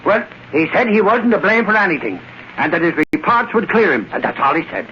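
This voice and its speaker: An excitable Irish newspaperman in a vintage radio play, high and swooping in pitch. He rambles and blusters his way through every report. The sound is muffled, like an old broadcast. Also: fairly quick, slight background noise. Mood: eager, garrulous. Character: excitable, long-winded Irish newspaper reporter, adult man. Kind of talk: 1930s-40s radio drama acting.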